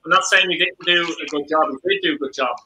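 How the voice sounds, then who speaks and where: A man's voice: on the high side, fast, bright, forceful tone, roomy sound, slight background noise, low-quality recording. An Irish man hosting a casual post-match football chat on a video call, arguing his case with rhetorical questions.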